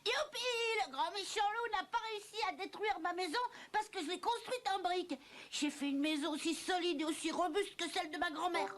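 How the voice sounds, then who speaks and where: A woman's voice: very high voice, a little fast. A woman voicing a small, clever, cheeky boy pig in a French children's cartoon, childlike and perky. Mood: triumphant, perky. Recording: loud music behind the voice.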